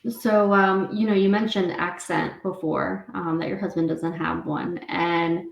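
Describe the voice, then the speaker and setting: Low-voiced New Jersey woman in an echoey room. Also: fairly even intonation, unhurried, creaky, muffled recording. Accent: New York–New Jersey. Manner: hesitant, rambling, many ums. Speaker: podcast host. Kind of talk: interview chat.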